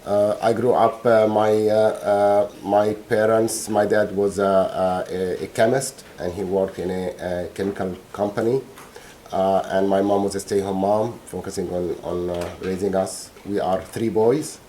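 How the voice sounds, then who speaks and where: A man's voice: on the low side, level tone, a little slow, dark tone, slight background noise. A middle-aged man, testifying as a witness in a courtroom and searching for his words. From Egypt.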